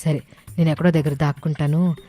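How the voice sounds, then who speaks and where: Assertive village woman voiced by an actress, sarcastic, chiding, low and mellow, light music behind. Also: little pitch movement, fast. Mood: secretive. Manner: firm, chiding.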